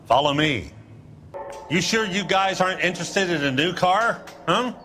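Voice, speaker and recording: A man's voice: sits high, expressive, normal speed, music in the background. An actor playing a slick, glib 1940s car dealer in a video game, with smarmy, chatty salesman patter.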